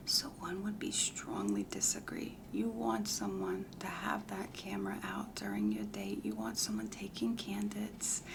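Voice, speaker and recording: A woman playing a self-assured, chatty matchmaker in a comedy ASMR roleplay, whispering softly in a deliberate, confiding, matter-of-fact way. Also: medium pitch, background noise. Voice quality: breathy whisper with heavy creak. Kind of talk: soft-spoken whispered ASMR roleplay questionnaire.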